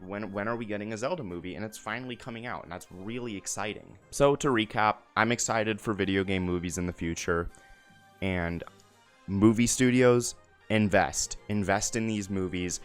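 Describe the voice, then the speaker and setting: A young man giving casual, opinionated commentary to viewers in an enthusiastic, emphatic way, often repeating his phrases. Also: low-pitched. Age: young adult. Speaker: video essay host. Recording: music bed behind the voice.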